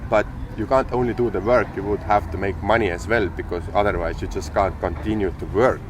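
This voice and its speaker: A man telling his story in casual conversation, explaining things in a steady, step-by-step way, recorded outdoors in a sunny city. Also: lowish voice, brisk. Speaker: podcast co-host, entrepreneur. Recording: clear street and traffic noise.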